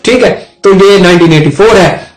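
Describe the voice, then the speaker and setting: A man's voice: sits high, moderate pace. A man with a Pakistani accent giving an informal explanatory law lesson, conversational and often repeating and rephrasing his points. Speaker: advocate and legal educator. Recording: roomy echo, music underneath.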